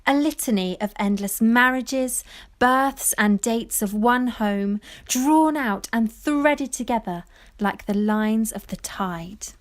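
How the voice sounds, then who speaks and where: A woman playing a wistful granddaughter, giving lyrical, measured narration in an audio play. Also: fairly high pitch. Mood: wistful, descriptive. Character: wistful granddaughter narrating family memories of fishing village life. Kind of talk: poetic scripted narration.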